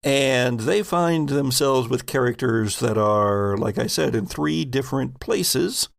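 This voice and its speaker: A man hosting and narrating a tabletop role-playing game as game master, in a deliberate storytelling manner. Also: medium pitch, gravelly, dry recording, studio quality. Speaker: game master and podcast host.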